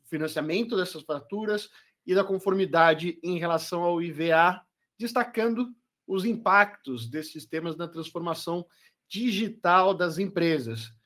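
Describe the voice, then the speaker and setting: A man's voice: on the high side, a bit bright. A man hosting a corporate webinar as its presenter, speaking fluently and upbeat. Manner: fluent, upbeat presenter, says his accent is not Portuguese. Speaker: webinar host, product marketing manager.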